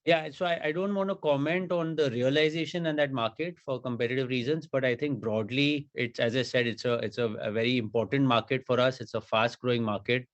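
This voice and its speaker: A man speaking as a company executive, answering questions on an earnings call in a measured, halting way with repeated words. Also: mid-range voice, steady tone, lo-fi sound.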